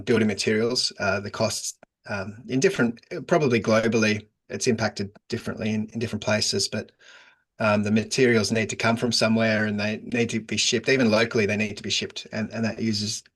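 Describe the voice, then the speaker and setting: A man with an Australian accent, a guest on a podcast, speaking in a relaxed, chatty way with frequent laughs over a remote call. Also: lowish voice. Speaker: guest, digital agency founder. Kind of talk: casual remote interview.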